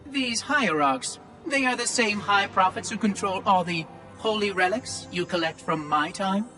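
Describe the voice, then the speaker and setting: A man's voice: high-pitched, moderate pace, faint music behind. An actor voicing a curious, condescending ancient male machine caretaker in video game dialogue. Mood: inquisitive. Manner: prying, quietly condescending.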